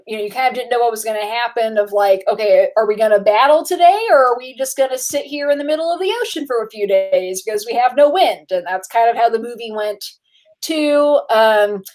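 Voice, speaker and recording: A woman's voice: a little high, darkish tone. A woman hosting a casual movie podcast, chatty and a little tired, with frequent filler words and laughs. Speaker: podcast host. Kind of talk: casual chat.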